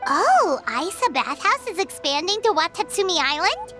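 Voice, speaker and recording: A woman voicing an excitable but polite young girl in scripted video game dialogue. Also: very high voice, normal speed, soft background music, heavily acted. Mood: flustered, curious.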